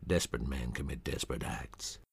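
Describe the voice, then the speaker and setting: A man's voice: rumbling low, steady tone, fairly quick, gravelly, breathy edge, no echo, studio quality, pitched below the performer's own voice, full character voice. A man voicing a shady, threatening man bargaining for secrets. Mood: menacing.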